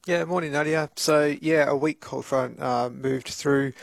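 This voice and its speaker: Man, weather forecaster, gravelly, slightly higher voice, relaxed with fillers, dry sound. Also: a little slow, no echo. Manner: relaxed, many ums and uhs.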